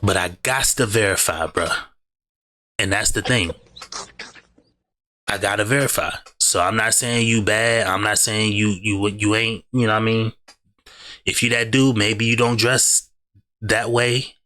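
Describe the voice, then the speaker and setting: A man's voice: fairly low pitch, steady tone, a little slow, pauses often, bright, forceful tone. A man hosting a reaction video, giving blunt, slangy commentary on clips and repeating "bruh" a lot. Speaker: reaction host. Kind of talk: casual commentary over clips.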